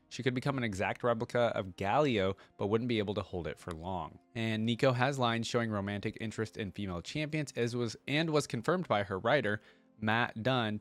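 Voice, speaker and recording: A man hosting a reaction video, reading text aloud and adding casual, conversational commentary. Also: on the low side.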